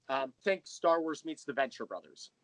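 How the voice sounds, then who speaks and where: A man's voice: a little high, expressive, unhurried, no echo, low-quality recording. A man talking casually and animatedly as a podcast guest and creator, telling about his work with enthusiasm. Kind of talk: casual interview chat.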